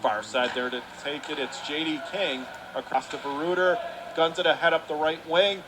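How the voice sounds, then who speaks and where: Male hockey play-by-play caller, higher register, urgent clipped delivery, noisy live arena sound. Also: steady tone, moderate pace. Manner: urgent calls of the action in clipped phrases. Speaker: radio play-by-play hockey announcer. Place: indoor ice hockey arena. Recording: clearly noisy live rink recording.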